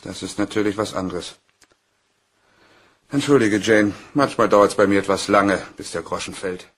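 An actor playing an easygoing, flirtatious office colleague in a crime radio play, husky and chummy. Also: male voice, on the low side, normal speed, a bit bright. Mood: suspicious, hurt. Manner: teasing, chummy.

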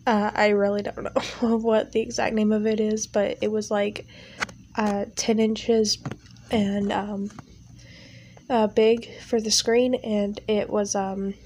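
A woman's voice: medium pitch, flat delivery, slow pace, a little mellow, slight background noise. A young woman with a stuffy, nasal voice talks casually over her art, rambling with many fillers. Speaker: art channel creator. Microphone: home recording setup.

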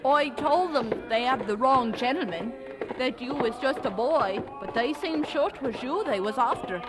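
An actress playing a blunt, money-minded innkeeper with rough colloquial speech in a radio drama. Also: female voice, high voice, lively intonation, normal speed.

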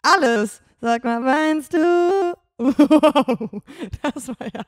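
A young woman musician, energetic and sassy, laughing often and bursting into song, talking into a handheld mic through auto-tune in a dark studio. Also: sits high, extremely slow, faint music behind. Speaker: musician guest. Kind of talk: playful interview, singing through auto-tune. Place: dark studio with purple lighting. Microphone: handheld mic, wearing headphones.

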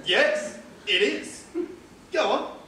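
A man's voice: very high voice, expressive, very slow, bright, forceful tone, heavily acted. A man acting a cheeky, lecherous, cantankerous old man in a stage comedy, blunt and needling. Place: large theatre stage, recorded from far off. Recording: echoey distant theatre recording with hiss.

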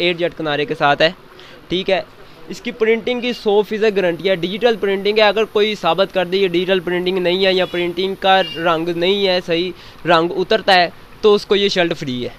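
Young salesy student pitching fabrics. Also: male voice, fairly bright tone, a little hiss or hum. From Pakistan. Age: young adult. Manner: salesy, earnest, lists fabrics and guarantees. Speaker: shop owner's son, student. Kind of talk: product pitch. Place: indoors, small cloth warehouse. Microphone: handheld mic.

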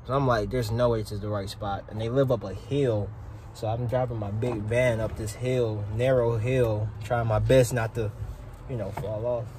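A man's voice: mid-range voice, steady tone, normal speed, mellow. A young man chatting casually and informally in slangy speech, as a technician vlogging on the job. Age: young adult. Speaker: rookie HVAC technician vlogging. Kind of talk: casual vlog chat. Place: outdoors beside air-conditioning units and vehicle. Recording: very noisy outdoor phone audio, vehicle sounds.

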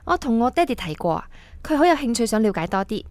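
A woman's voice: fairly high pitch, sing-song, fast, a little mellow, no echo. An actress playing a persuasive young woman, a tycoon's breezy daughter, in a radio drama, mixing in English words. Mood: eager. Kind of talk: radio drama acting, casual dialogue. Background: background music.

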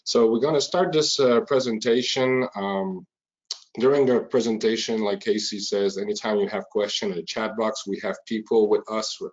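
A man's voice: lowish voice, fairly even intonation, moderate pace. A middle-aged man from Quebec with a French Canadian accent, presenting a webinar in a chatty, informal way with frequent fillers. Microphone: video call. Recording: muffled lo-fi webinar audio.

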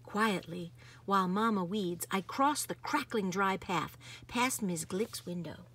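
A woman reading a picture book aloud in an expressive, dramatic manner. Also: medium pitch, measured pace, slightly rough. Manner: animated, dramatic, varies from hushed to exuberant. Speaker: storybook reader.

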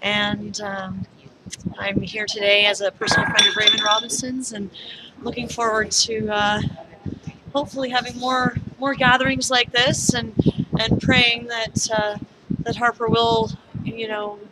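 A woman's voice: fairly high pitch, little pitch movement, measured pace. Hesitant woman speaking outdoors over noise. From Ontario. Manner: hesitant, many fillers. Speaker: vigil attendee, friend of the honoree. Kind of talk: casual self-introduction. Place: outdoor square in front of city hall.

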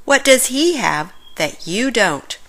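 A woman's voice: fairly high pitch, very animated, slow pace, fairly bright tone, pitched above the performer's own voice, exaggerated voice. A woman voicing a helpful, earnest young boy in a children's storybook, eager and polite. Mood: concerned.

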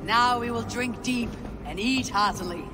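Stern warrior god, high voice, measured, formal, commanding, fantasy game, music behind. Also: male voice. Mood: courtly flattery. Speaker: video game protagonist. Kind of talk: dramatic fantasy game acting.

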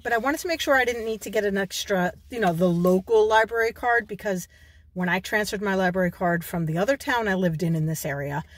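A woman's voice: mid-range voice, slightly rough. A woman in her forties from New York, vlogging casually from a parked car into a phone held at arm's length, chatty and given to digressions.

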